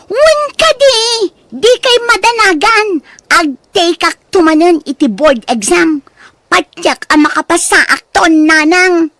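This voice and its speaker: High-pitched proud young Ilocano woman, acted by a woman. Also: expressive, takes it slowly, a bit bright, no echo, heavily acted. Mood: haughty, irritated. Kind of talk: Ilocano radio drama acting.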